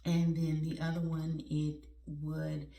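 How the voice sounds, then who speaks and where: A woman in her forties talking candidly and warmly to her viewers in a casual, self-joking way. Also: deep voice, monotone, slow, creaky, reverberant, recorded from a distance. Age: 40s. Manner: candid, conversational, laughs at herself, uses y'all. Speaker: vlogger. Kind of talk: personal heartfelt talk to viewers.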